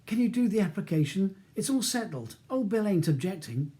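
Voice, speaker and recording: Audiobook role: male reader as a thirtyish East End gangster behind bars, mellow, confidential, coaxing, with London vowels. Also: sing-song. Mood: confidential, urgent. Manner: low, fast, confidential, colloquial. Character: cockney gangster prisoner around thirty, urgent and wheedling. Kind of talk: audiobook character dialogue.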